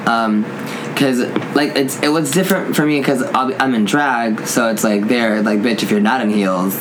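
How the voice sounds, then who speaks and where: A man hosts a casual talk show with a campy, slangy delivery and a medium-pitched voice. He swears freely as he chats, and there is clearly audible noise around him. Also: moderate pace. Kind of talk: casual interview chat. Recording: clearly noisy room ambience.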